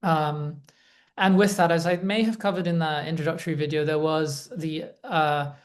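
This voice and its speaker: A woman lecturing in an informal academic style, thoughtful and a little hesitant, qualifying her points as she goes. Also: deep voice. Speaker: lecturer, co-presenter.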